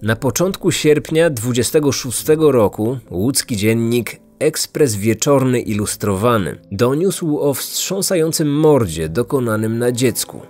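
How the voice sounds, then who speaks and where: A man narrates a true-crime podcast from a prepared script. His voice sits at a middling pitch, with faint music underneath. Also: lively intonation, a little slow, hardly any pauses, a little mellow.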